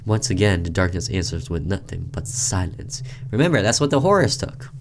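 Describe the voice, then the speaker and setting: A low-pitched man hosts a game playthrough, reading the story text aloud. His delivery is deliberate and dramatic, loosened by casual asides. Some background noise sits under the voice. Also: sing-song. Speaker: gaming video host. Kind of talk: reading visual novel narration aloud with casual commentary.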